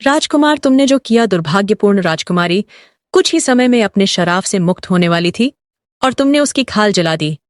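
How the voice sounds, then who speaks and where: A woman's voice: mid-range voice, animated, moderate pace, dry recording, clean studio sound, natural voice. A woman voicing a mysterious, motherly old woman of the forest in a cartoon fairy tale, knowing and admonishing. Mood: reproachful. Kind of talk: Hindi cartoon fairy-tale character acting.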